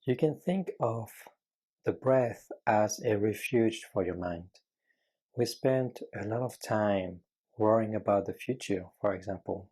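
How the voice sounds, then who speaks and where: A man guiding a meditation with calm, gentle, measured instruction and frequent pauses between phrases. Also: lowish voice, breathy edge, rough recording. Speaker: meditation guide.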